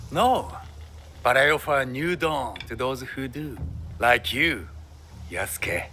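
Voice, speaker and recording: A man's voice: mid-range voice, lively intonation, slow, pushed and bright, a little hiss or hum, heavily acted. A man playing a grandiose, zealous samurai recruiter in a video game cutscene, talking in a florid, ingratiating, theatrical way.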